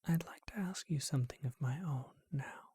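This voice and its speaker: A performer voicing a charming, bookish ancient vampire in a whispered ASMR roleplay, speaking in a refined, unhurried, musing way with a breathy voice. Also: mid-range voice, warm tone, dry recording. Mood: intimate, reflective.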